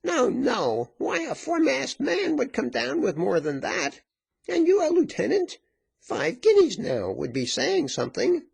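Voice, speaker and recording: A man voicing a loud, bullying, rough woman in a storybook, brash and taunting. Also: extremely high pitch, very animated, normal speed, hardly any pauses, pitched above the performer's own voice, full character voice. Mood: scornful, demanding. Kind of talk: dramatized storybook reading.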